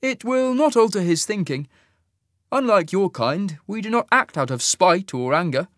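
A man voicing a cold, haughty alien male officer who speaks in a raised, formal, measured way. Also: high-pitched, expressive, a bit bright, dry recording, clean studio sound, voice pushed up.

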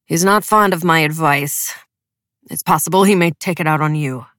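A woman voicing a stern, wary middle-aged military father in an audiobook, speaking in a guarded, measured mutter. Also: sits low, a bit bright, dry recording, studio quality.

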